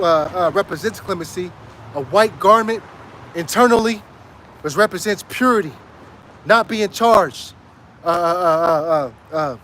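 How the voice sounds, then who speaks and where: A man preaching outdoors in an emphatic street sermon style, repeating lines and checking in with "right?", his voice going hoarse. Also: sits high, a bit bright, a little hiss or hum.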